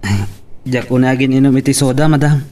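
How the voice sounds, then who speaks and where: An actor with an Ilocano accent playing a humble, polite driver who speaks hesitantly and deferentially in a radio drama. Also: male voice, medium pitch, little pitch movement, a little fast, mellow, distant mic, a little hiss or hum, close to an everyday voice. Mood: shy. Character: humble male driver, shy, polite, earnest.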